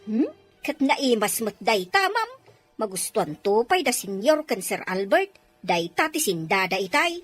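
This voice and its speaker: A woman's voice: a little high, big pitch swings, a little slow. A woman playing a put-upon, servile older maid with a Filipino accent in a melodrama, whining and exclaiming deferentially. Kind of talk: melodramatic audio drama acting. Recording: music behind the voice.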